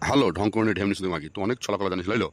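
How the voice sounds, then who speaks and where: A man's voice: on the low side, rapid-fire, no room sound, close to an everyday voice. A man voicing an angry, suspicious, foul-mouthed old village husband in a comic cartoon, cursing and scolding. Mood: scornful, suspicious. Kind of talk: comic Bengali cartoon voice acting.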